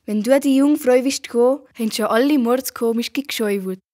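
A female storyteller narrates an amateur radio play. Her voice is fairly high, and she speaks with a Valais Swiss German accent, reading from a script. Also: normal speed, dark tone.

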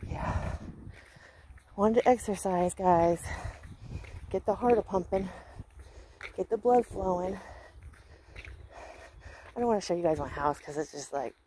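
A woman's voice: on the low side, a little slow, pauses often, warm tone. A middle-aged American woman from Washington State chats casually and folksily to viewers while walking outdoors, holding her phone at arm's length. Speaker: vlogger. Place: outdoors on a rural residential road. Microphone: handheld phone at arm's length. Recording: noisy outdoor phone recording with clicks.

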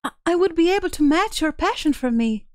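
A woman's voice: very high voice, expressive, a little fast, dry recording. A woman voicing a vain, earnest male skeleton from a video game in a pompous, melodramatic manner. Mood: dramatic, earnest. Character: vain, earnest, theatrical male skeleton, bombastically self-confident. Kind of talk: dubbing video game dialogue on a livestream.

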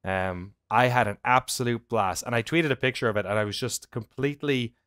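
An Irish man with a clear, smooth voice chats as a podcast co-host. His delivery is informal and animated, full of loose storytelling, and the sound is dry and close. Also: medium pitch, brisk, dry recording. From Ireland. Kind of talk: casual chat, anecdotes. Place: indoor room at home on a video call.

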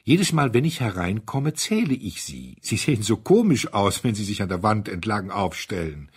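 A man's voice: fairly low pitch, big pitch swings, moderate pace, breathy edge, full character voice. A man voicing a boyish, foolish young duke who speaks eagerly and insistently in a storybook reading. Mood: excited.

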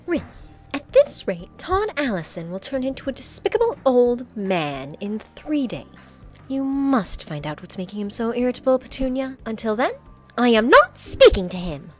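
A woman's voice: a little high, very animated, normal speed, soft background music. A woman voicing a haughty, theatrical, easily offended young lady in a webcomic dub. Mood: haughty, teasing. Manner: dramatic, haughty. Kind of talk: dubbed webcomic voice acting.

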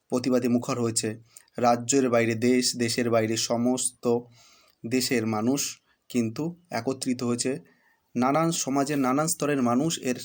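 This is a man with a medium-pitched voice. He talks like an online exam-prep host addressing students directly. His delivery is informal and halting, and he repeats phrases to stress them. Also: a little mellow. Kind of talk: informal news update to students.

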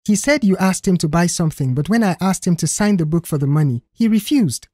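A woman's voice: fairly low pitch, lively intonation, brisk, mellow, husky, no room sound, studio quality. A woman voicing an insistent, accusatory female receptionist in an audio story. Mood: accusing.

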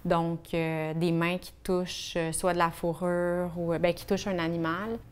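Woman, Québécois accent, low smooth voice, flat delivery, chatty with fillers, studio interview. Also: normal speed. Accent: Québécois French. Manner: chatty, many fillers, gestures while talking. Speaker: photographer. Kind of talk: casual documentary interview. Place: artist studio.

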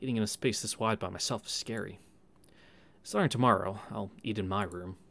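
Low-pitched man reading a visual novel. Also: a little fast, vocal fry, a bit bright. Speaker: let's play streamer. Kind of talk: casual read-through of a visual novel with commentary. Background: game music.

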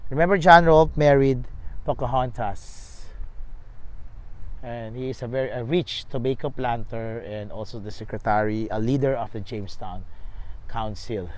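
A man's voice: mid-range voice, poor audio. Man on an outdoor walking-tour vlog. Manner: plain explanatory, reads signs aloud, self-corrects. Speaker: travel vlogger. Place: outdoors at a riverside historic site. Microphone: lapel mic, selfie camera at arm's length.

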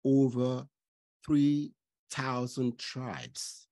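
A middle-aged man from Nigeria, hosting a podcast from a home study with a studio mic, speaking deliberately with stuttering restarts. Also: medium pitch, dark tone. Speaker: podcast host. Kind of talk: casual interview chat. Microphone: studio mic with headphones.

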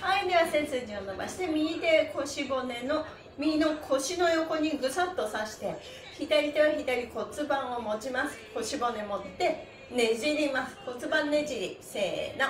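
High-voiced cheerful woman leading stretches, echoey room. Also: animated, a little slow. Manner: cheerful, encouraging, singsong chanting. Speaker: stretching instructor. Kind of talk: follow-along exercise instruction with chanted counts. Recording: echoey room, faint background noise.

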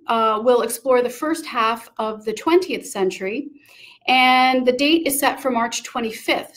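High-voiced middle-aged woman hosting. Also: fairly bright tone. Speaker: event host. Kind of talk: prepared closing thanks and announcements. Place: small book-lined room indoors. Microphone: video call.

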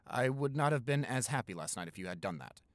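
A man's voice: mid-range voice, expressive, fast, no room sound, natural voice. A young man playing a tender, playful, smitten new boyfriend in an intimate roleplay, talking in a conversational, hesitant, affectionate way. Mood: playful, caring. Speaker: roleplay audio performer.

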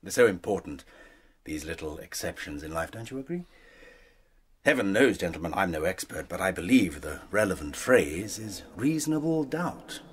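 A smug, cunning criminal mastermind for radio drama. The voice is husky and very lively in pitch. He is urbane and mocking, savouring his own cleverness theatrically. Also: normal speed, full character voice. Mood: sly, smug.

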